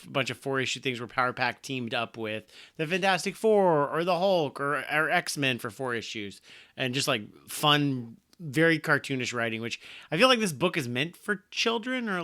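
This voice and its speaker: A man's voice: a little high, bright, forceful tone. A man co-hosting a comics podcast, talking casually with rambling, wry asides. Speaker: podcast co-host. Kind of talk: casual chat.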